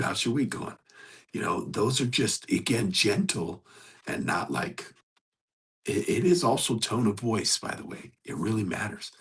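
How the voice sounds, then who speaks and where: A man with a hoarse, gravelly voice hosts a motivational coaching talk. He speaks earnestly and conversationally, exhorting his listeners. His pitch swings widely, and his tone is rough and husky throughout. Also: fairly bright tone. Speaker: host.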